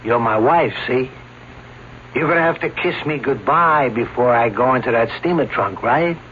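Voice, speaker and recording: An actor playing a brash, cocky escape artist who talks in streetwise slang, in a vintage radio drama. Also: male voice, medium pitch, moderate pace, lightly acted. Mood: smug, sly. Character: brash, boastful male escape artist and showman, married. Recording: dull, noisy old radio drama recording.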